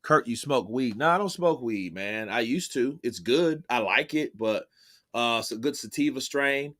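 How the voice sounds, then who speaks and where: A man's voice: mid-range voice, lively intonation, moderate pace, a bit bright. A young man doing casual, profane live-stream commentary on boxing and chatting with his viewers. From Texas, United States.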